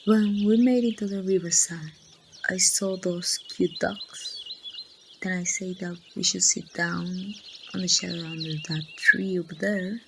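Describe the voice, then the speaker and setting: A woman playing a grieving young woman confiding in her lost best friend in a halting, wistful ASMR roleplay monologue. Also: lowish voice, steady tone, gravelly, slight background noise. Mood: tender, nostalgic. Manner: slow, halting, wistful storytelling. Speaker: amateur voice actor.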